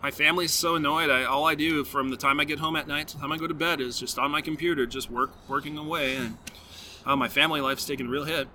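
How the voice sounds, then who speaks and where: A man hosts a podcast in a sharp, very bright voice pitched a little high. He tells lively stories and acts out playful quotes, then shifts easily into sponsor reads. Faint hiss lies under the voice. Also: quick pace. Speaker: podcast host. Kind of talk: casual chat with sponsor reads.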